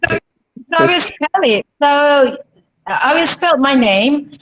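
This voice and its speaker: A woman from Indonesia, a guest English educator on a video call, speaking deliberately and spelling her name letter by letter. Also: high voice, lively intonation, background noise.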